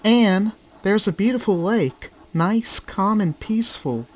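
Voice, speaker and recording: A man voicing a kindly, protective female tank engine in a children's railway story, with a gentle, teasing manner. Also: high-pitched, lively intonation, slow, voice pushed up, heavily acted. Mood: gentle, reassuring.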